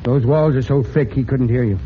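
An American man playing a steady, fatherly fire chief, deliberate and commanding, in old-time radio drama style. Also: mid-range voice, lightly acted. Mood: grim. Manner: deliberate, authoritative. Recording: muffled, noisy old radio recording.

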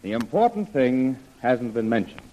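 An actor playing an earnest, persuasive gentleman major, formal and articulate, in an old-time radio adventure. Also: medium pitch, very animated, moderate pace, lo-fi sound, a little hiss or hum, close to an everyday voice.

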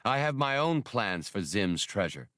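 Guy doing a cold smug gunman bad guy for an audiobook, formal and menacing, expressive pitch. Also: no room sound, clean studio sound. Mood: cold disdain. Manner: formal, condescending, unhurried menace. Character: smug, coldly courteous gunman villain, man. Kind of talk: audiobook character dialogue.

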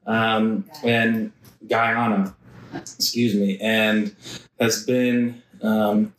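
A man hosting a casual podcast interview, flat and relaxed, with lots of ums. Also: medium pitch, a little hiss or hum. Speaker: podcast host. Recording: echoey room, mic held at a distance.

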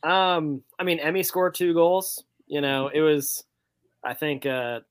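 A man's voice: on the high side, unhurried, clean tone, no echo. Laid-out casual man, close dry sound. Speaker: soccer fan podcast panelist. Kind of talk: casual chat.

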